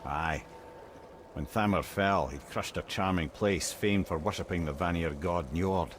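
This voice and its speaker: An actor with a Scottish accent playing a wry, learned old advisor, telling tales theatrically in a video game. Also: male voice, low voice, a little slow, slight background noise. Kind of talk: theatrical storytelling in game dialogue.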